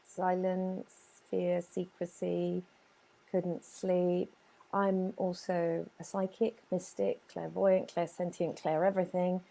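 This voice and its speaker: Woman, Chilean accent, low gravelly voice, mellow tone, emphatic pauses. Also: flat delivery, low-quality recording. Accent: Chilean Spanish. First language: Spanish. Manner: earnest, emphatic storytelling with dramatic pauses. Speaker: guest business owner. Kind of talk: personal life story in an interview.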